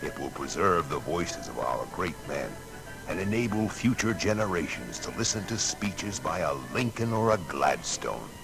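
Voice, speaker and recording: A deep, gravelly, proud inventor. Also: male voice, very animated, normal speed, music in the background, full character voice, poor audio. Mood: proudly visionary. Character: Thomas Edison, American inventor recounting his discoveries with pride. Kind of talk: dramatised first-person narration.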